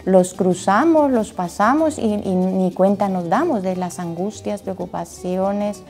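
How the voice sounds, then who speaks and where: A woman's voice: medium pitch, unhurried, a little mellow, soft background music. A woman from Guatemala, speaking in a heartfelt, emphatic way about her experience. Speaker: interviewee. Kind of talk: emotional testimony.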